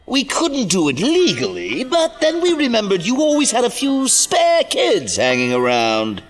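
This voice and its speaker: A gleefully unhinged clown villain for an audio drama. His pitch swoops wildly as he talks with sing-song, mock-genial showmanship, over a music score. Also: huge pitch swings, measured pace, heavily acted. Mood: gloating mischief. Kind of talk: audio drama character acting.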